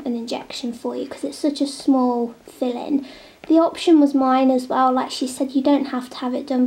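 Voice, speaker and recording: A young woman with a head cold talks casually as she draws at a table at home, now and then sinking into a near-whisper. Her voice sits high but stays level and mellow, with a gravelly edge of vocal fry. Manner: chatty, drifting between soft near-whispers and normal talk. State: snotty with a cold. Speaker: vlogger drawing on a tablet. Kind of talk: casual chat while drawing. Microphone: camera on the table.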